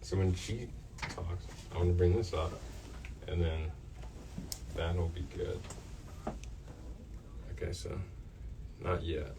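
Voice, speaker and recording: A man's voice: sits low, level tone, takes it slowly, vocal fry, long pauses. A man hosting a live interview on student radio. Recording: distant mic with faint noise.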